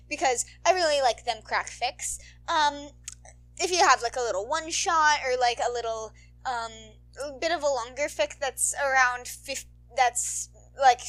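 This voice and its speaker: High, bright, bubbly young woman. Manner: bubbly, playful, rambling. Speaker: podfic reader and channel host. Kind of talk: casual chatty outro to listeners.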